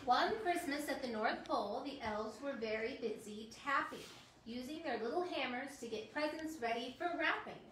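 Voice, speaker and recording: Woman in her 30s reading a kids book out loud, high voice, echoey lobby, mic kinda far off. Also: normal speed, slight background noise. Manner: lively, laughing storyteller. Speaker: singer reading a children's book aloud. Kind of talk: reading a picture book to an audience. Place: hotel lobby with Christmas tree and seated guests. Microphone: camera across the room. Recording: roomy echo, voice from a distance.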